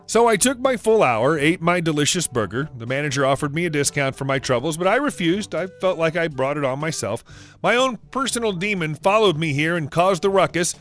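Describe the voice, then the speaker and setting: A man giving a scripted narration of online stories as the channel's narrator, reading in an even, steady way. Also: a little high, brisk, faint music behind. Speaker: story narrator.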